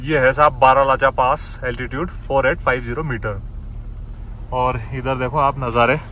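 A man in his thirties narrating a casual, excited travel vlog to his viewers while driving a car along a mountain road. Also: mid-range voice, moderate pace, a little hiss or hum. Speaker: travel vlogger.

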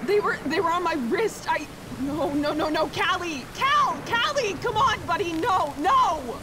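A woman's voice: very high-pitched, lively intonation, measured pace. A woman playing a panicked youngster searching for a lost companion in a sci-fi audio drama. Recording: noisy rainstorm behind.

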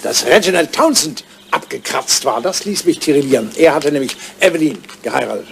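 An older man, an actor playing an excitable, wistful old lord in love, theatrical and impulsive, in a radio comedy drama. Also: on the high side, brisk, creaky. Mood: wistful, reminiscing. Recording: old radio play recording, faint noise.

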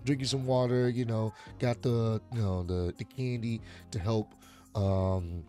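A man in his thirties with a hoarse voice, hosting a casual reaction video from a home streaming room on a studio mic, chatting easily and breaking into loud laughs and shouts. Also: fairly low pitch, steady tone, unhurried, soft background music. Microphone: studio mic on arm, headphones.

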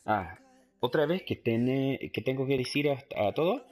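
A man's voice: mid-range voice, a little slow. A man with English as his first language and a Chilean-tinged Spanish accent, casually commentating a football video game, hesitating often and switching between Spanish and English. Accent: Chilean Spanish, English-speaking learner. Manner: mixes Spanish with English, hesitant, frequent fillers; bursts of loud excitement at plays. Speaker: gaming YouTuber.

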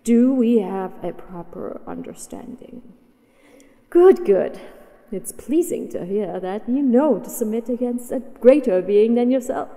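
A woman's voice: a little high, lively intonation, measured pace, mellow. Woman as a haughty dragoness in cavernous reverb. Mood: stern, commanding. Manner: condescending, commanding, teasing. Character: possessive, haughty, mighty female dragon captor. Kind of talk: scripted roleplay audio acting.

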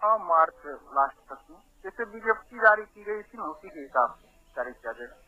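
A man's voice: sits high, animated, brisk. A man on a recorded phone call, answering queries as an official, hesitant and repeating himself. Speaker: exam board helpline official. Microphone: telephone.

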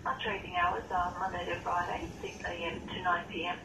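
A woman's voice: fairly low pitch, level tone, fairly quick. A woman reading a scripted greeting for a store phone line, heard through a telephone speaker. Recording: noisy echoey phone speaker, distant.

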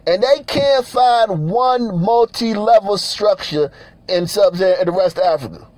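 A man's voice: high voice, moderate pace, a little mellow, no room sound. A man from Nigeria giving blunt, profane, repetitive commentary over pictures, often laughing between points.

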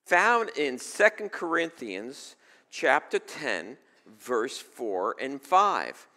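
A man's voice: medium pitch, sing-song, drawn-out words. A middle-aged man from New York with a New York accent, preaching a sermon at a church pulpit and moving between fervent and conversational delivery. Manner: rises to loud fervent preaching then drops to easy chat. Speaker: pastor. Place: church stage before a seated congregation. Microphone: stage mic at a pulpit. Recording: long hall reverb.

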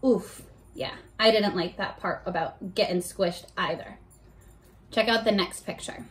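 A young woman leading a read-aloud lesson for children, talking to the camera in an animated, encouraging, child-directed way. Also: mid-range voice, unhurried. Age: young adult. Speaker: children's teacher. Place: bedroom at home, indoors.

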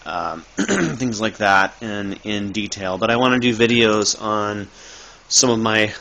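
Low-voiced man, halting casual talk. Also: fairly bright tone. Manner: halting, loosely improvised. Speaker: video maker, thrift reseller. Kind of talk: casual rambling how-to talk. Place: cluttered dim room indoors. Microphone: handheld phone. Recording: noisy amateur phone recording.

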